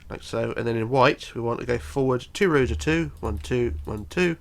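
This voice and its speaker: A man's voice: mid-range voice, moderate pace, vocal fry. A man with a bunged-up, congested voice giving a casual step-by-step building tutorial, counting aloud methodically. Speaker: tutorial host.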